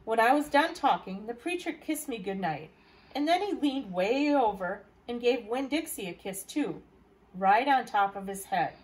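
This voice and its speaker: A woman's voice: fairly high pitch, expressive, normal speed, rough recording. A woman reading aloud as a library storyteller, narrating a children's novel. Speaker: library staff reader.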